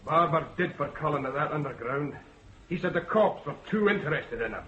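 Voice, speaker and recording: A man's voice: fairly high pitch, lively intonation, brisk, sharp tone, lo-fi sound, slight background noise. An actor playing a nervous, blustering male criminal, answering police questions. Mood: defensive. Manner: defensive, blustering.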